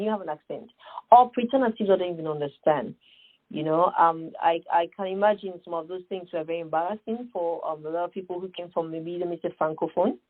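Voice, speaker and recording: A middle-aged woman with a Sierra Leonean accent speaks as an interview guest, emphatic and earnest. Her voice sits low and breathy. The sound is thin and phone-like. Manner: earnest, emphatic, many fillers and rhetorical questions. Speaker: guest, nonprofit founder. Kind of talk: conversational interview answer.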